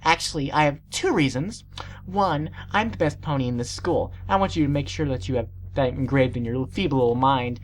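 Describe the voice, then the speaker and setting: A woman's voice: extremely low pitch, a little fast, slight background noise, low-quality recording. A woman voicing a spoiled, haughty young filly with a temper in a pony story. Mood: scornful. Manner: haughty, emphatic.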